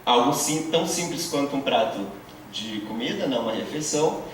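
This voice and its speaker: A man's voice: mid-range voice, lively intonation, moderate pace. A man teaching a university course, explaining ideas with many fillers and tag questions. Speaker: course instructor. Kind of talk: university lecture. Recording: very echoey, distant, faint room noise.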